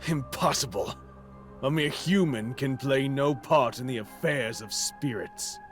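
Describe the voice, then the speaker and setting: A man's voice: medium pitch, measured pace, natural voice. An actor playing a haughty, scornful male spirit in a visual novel, speaking with cold, imperious disdain. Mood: dismissive. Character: haughty, scornful dark-haired male spirit, impatient brother. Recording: music behind the voice. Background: game music.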